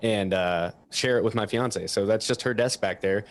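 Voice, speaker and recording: A man's voice: lowish voice, moderate pace. A man chatting casually as a panelist in an online session from a small office, rambling a little and poking fun at himself. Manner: self-deprecating, rambling. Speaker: panelist who teaches about microphones. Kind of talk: casual chat in a webinar.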